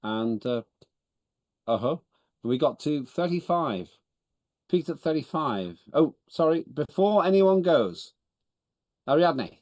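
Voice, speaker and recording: A man's voice: a little high, lively intonation, slow, long pauses, darkish tone, no room sound. A man whose first language is Estonian, chairing an online parliamentary meeting in a businesslike way, often correcting himself with a dry humor. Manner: businesslike, self-correcting, wry. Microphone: video call.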